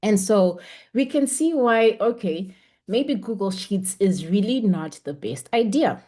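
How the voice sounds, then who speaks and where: A woman's voice: mid-range voice, lively intonation, a little slow, warm tone. Chatty young woman teaching an online class. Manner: chatty, encouraging, frequent ums. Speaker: webinar instructor. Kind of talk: online lecture. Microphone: computer mic on a video call.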